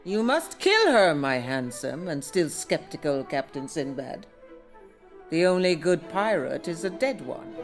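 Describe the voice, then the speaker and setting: An actress playing a sly, menacing seductress in a pirate fantasy audio drama, with a taunting, theatrical delivery. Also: female voice, sits low, big pitch swings, measured pace, over music, full character voice. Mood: coaxing menace. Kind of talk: melodramatic audio adventure acting.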